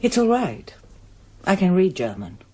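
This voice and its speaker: An actress playing a composed, courteous middle-aged English wife visiting as a guest, formal and polite, in a radio drama. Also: female voice, lowish voice, very animated, unhurried, a little mellow, slightly rough, exaggerated voice. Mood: interested.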